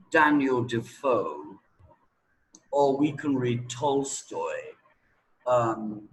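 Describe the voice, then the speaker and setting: Slow, deliberate man telling anecdotes. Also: medium pitch, rough recording. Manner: deliberate, emphatic, with dramatic pauses and repetition. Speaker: English teacher being interviewed. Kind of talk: anecdotal spoken talk.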